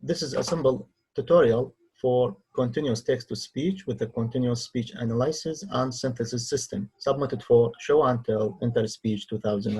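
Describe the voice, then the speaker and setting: Husky non-native English-speaking man presenting a tutorial. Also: mid-range voice, dark tone, low-quality recording. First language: not English. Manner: halting, non-native phrasing with hesitant pauses. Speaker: researcher demonstrating own software. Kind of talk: tutorial screen-recording narration.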